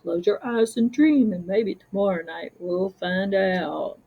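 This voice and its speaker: A woman voicing a gentle, wise sloth father who soothingly tells bedtime storybook tales. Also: mid-range voice, measured pace, breathy edge, dull audio.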